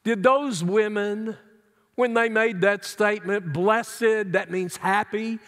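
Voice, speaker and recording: A man's voice: high voice, slow, fairly bright tone. A middle-aged male pastor preaching an earnest, emphatic sermon from his seat in a large church sanctuary. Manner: earnest, emphatic preaching with wide hand gestures. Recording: long church hall reverb.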